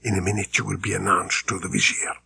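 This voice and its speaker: A man voicing a knowledgeable interpreter and guide, matter-of-fact and low-key, in an audiobook. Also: flat delivery, fast, gravelly, pushed and bright, slightly rough, no room sound, heavily acted. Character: brisk, knowledgeable male interpreter and local guide.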